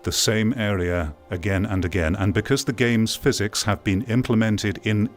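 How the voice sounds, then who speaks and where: Low-voiced man, emphatic commentary. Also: steady tone. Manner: emphatic, deliberate, repeats phrases for weight. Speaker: VR gaming video creator. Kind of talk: opinion commentary over gameplay. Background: music.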